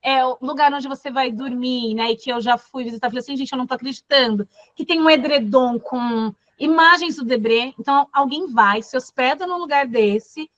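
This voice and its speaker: A woman from Brazil leads a class discussion, pausing often with fillers as she works through her points. Her voice sits a little high. The sound is dry and dull, like a lo-fi video call. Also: fairly bright tone, dry recording. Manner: thoughtful, many fillers and restarts. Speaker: course facilitator. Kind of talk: casual classroom talk.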